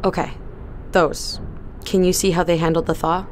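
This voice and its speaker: An actress playing a quiet, resolute young woman on a spaceship crew. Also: fairly low pitch, level tone, moderate pace, slight background noise, lightly acted. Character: determined young female spaceship crew member.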